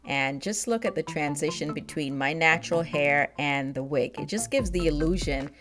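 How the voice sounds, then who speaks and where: A woman's voice: sits low, normal speed, music in the background. A young woman who grew up in Nigeria and the United States, chatting upbeat and laughing often as she reviews a product for her vlog. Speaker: beauty vlogger.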